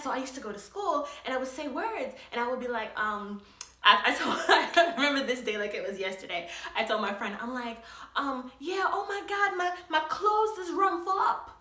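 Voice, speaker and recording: A young woman telling a story on her vlog in an animated way, often laughing as she talks. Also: mid-range voice, reverberant. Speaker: vlogger.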